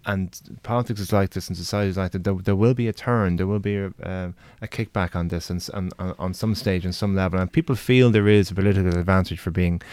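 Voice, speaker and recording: A middle-aged man with an Irish accent and a deep voice chats about politics on a podcast. He is measured and hesitant, often rephrasing mid-sentence. The recording is close and very dry. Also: steady tone, darkish tone, clear, resonant tone, no echo. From Ireland. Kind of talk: casual political discussion.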